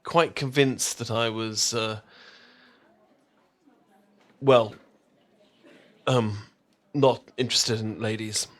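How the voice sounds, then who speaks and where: A man's voice: on the low side, steady tone, slow pace, long pauses, a bit bright. A British man playing a flustered, tea-obsessed boyfriend in an ASMR roleplay, stammering and rambling as he chats to the listener. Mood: sheepish, hesitant.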